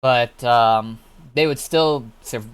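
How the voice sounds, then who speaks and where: A man's voice: medium pitch, slow pace, smooth. A man hosting a livestream, chatting casually in a rambling, informal way with some swearing. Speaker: livestream host. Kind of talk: casual gaming stream chat.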